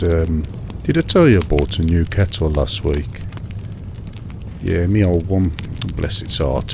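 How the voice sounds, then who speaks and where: A middle-aged British man in a monotonous, soothing one-sided chat, hesitant and rambling, repeating himself over mundane small details. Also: low voice, vocal fry. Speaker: host of a sleep relaxation show. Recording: steady background noise, fireside crackle.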